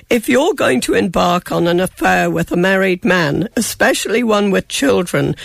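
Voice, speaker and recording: A woman's voice: medium pitch, vocal fry, breathy edge, no room sound. An Irish woman with a chesty cold, giving blunt, sarcastic relationship advice on a radio show. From Ireland. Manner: sarcastic, tart and frank. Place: radio studio.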